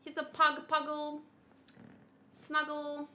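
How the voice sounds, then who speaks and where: A woman's voice: high-pitched, completely flat delivery, slow pace, pushed and bright, a little hiss or hum. A thirty-year-old woman streamer chatting casually in a gushing, cutesy, rambling way. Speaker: streamer, host of a gaming channel. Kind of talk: casual livestream chat while unboxing plush gifts.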